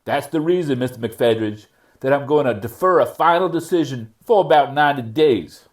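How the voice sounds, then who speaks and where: A man playing a stern but fair judge in a readers theater courtroom, speaking formally and admonishing in a measured way. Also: medium pitch, lively intonation, lightly acted.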